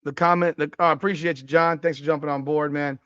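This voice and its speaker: A man in his forties hosting a casual sports podcast, ranting and cursing, his voice jumping higher whenever he gets worked up. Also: fairly even intonation, fast, a bit bright, smooth, dry recording, lo-fi sound. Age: 40s. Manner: ranting and profane, voice jumping up in pitch when worked up. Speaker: podcast host. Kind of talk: casual sports talk livestream, reading chat comments.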